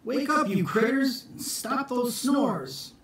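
A man acts out a spirited storybook country boy, calling out emphatically. The pitch jumps up high and swings about. It rings in a very echoey room. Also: lively intonation, slow, fairly bright tone, a little hiss or hum, exaggerated voice. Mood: bossy, insistent. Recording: echoey room, distant phone mic.

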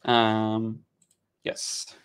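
A man's voice: lowish voice, very slow, clean tone. A man in his thirties from Israel talks through a watercolor painting on a live stream. His delivery is loose and conversational, full of "uh"s and restarts as he answers chat and explains colors. He is recorded indoors in a home studio on a headset mic. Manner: relaxed, chatty, frequent "uh" and repeated words. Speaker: watercolor art teacher.

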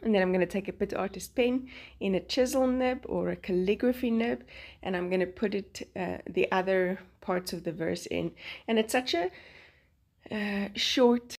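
A woman's voice: mid-range voice, moderate pace, a little mellow. A woman with a South African accent whose first language is Afrikaans, chatting with frequent laughs in a craft voice-over. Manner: chatty, laughs often between phrases. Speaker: Bible journaling channel host. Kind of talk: voice-over narrating a craft process.

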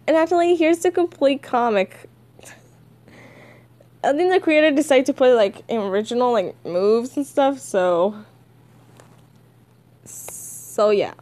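Slow, high-pitched giggly woman. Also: warm tone. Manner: giggly, self-deprecating, swears casually. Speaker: amateur comic dubber. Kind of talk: casual fan comic dub with chatty asides.

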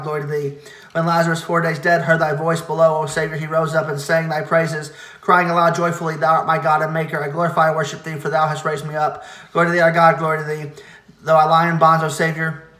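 A man solemnly reciting liturgical hymn verses in a measured way, now and then stumbling over a word. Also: on the high side, pushed and bright. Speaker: reader of church liturgy. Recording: echoey, reverberant room.

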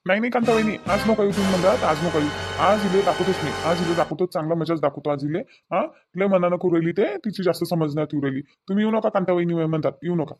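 A man's voice: sits high, fast talker, slightly rough, soft background music. A man playing a hot-tempered, worried village husband with a rural Vidarbha Marathi accent, firing repeated questions in an amateur family drama. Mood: angry scolding. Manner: scolding, repetitive rhetorical questions.

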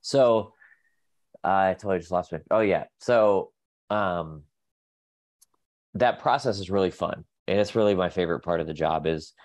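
A very low-pitched man with a nasal voice, sounding sick with a cold. He rambles and restarts often as a guest on a video call, and his voice comes across dry and close. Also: moderate pace, long pauses, a little mellow, no room sound. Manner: rambling, many fillers and restarts. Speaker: guest, pet nutrition company staff. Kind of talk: casual video call interview. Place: home office.

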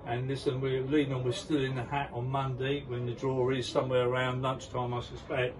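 A man's voice: medium pitch, monotone, fairly quick. An older man interviewing a player after a match, chatty and promotional, outdoors at a football ground. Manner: chatty, promotional, leading questions. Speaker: club interviewer. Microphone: handheld mic, camera at a distance. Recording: distant mic with crowd noise.

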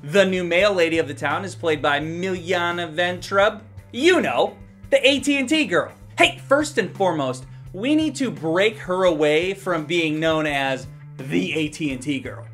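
A man in his thirties with a fairly high voice talks to camera as a film reviewer. He is conversational, comic and animated, and swears casually. Music plays quietly behind him. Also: a little slow. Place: small indoor room. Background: faint background music.

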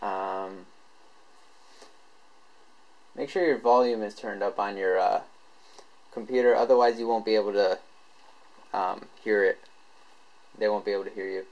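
A man presenting a tech tutorial in a casual, informal, unscripted way, halting as he explains each step. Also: lowish voice, fairly even intonation, a little mellow, highs cut off.

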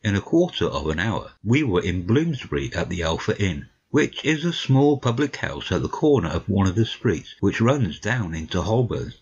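A man's voice: sits low, sing-song, normal speed, few pauses, slightly rough. A man narrating a literary audiobook from a script. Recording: slightly dull, lo-fi audio.

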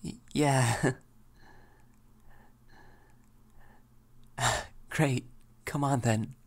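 A man voicing a shy, flustered young male vampire in a soft ASMR roleplay, stammering and hesitant. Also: mid-range voice, steady tone, drawn-out words, breathy edge, heavily acted. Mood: nervous, hopeful.

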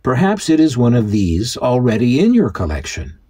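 A man's voice: mid-range voice, huge pitch swings, moderate pace, warm tone, slightly rough. A man hosting and co-narrating in a scripted but conversational documentary style. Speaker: host and co-narrator.

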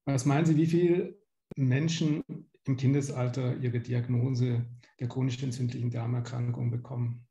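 Dark-voiced, creaky man lecturing. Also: mid-range voice, level tone, moderate pace, highs cut off. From Germany. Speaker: medical lecturer, pediatric gastroenterologist. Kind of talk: slide lecture to patients. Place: small indoor room, on video call. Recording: roomy home or office echo.

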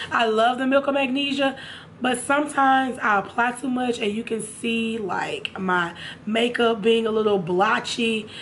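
A young woman with a raspy, hoarse voice, chatting casually to her viewers about makeup in a room at home. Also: a little high, a little hiss or hum. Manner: chatty, addresses viewers directly. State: hoarse from a sinus infection. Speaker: beauty vlogger. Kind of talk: casual makeup haul chat.

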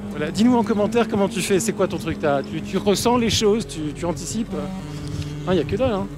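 A man's voice: high voice, expressive, a little fast, over music. A young man chatting casually to an action camera outdoors as a trip vlogger. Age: young adult. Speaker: vlogger on an electric unicycle trip. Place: outdoors, village streets and forest trails. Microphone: action camera at arm's length.

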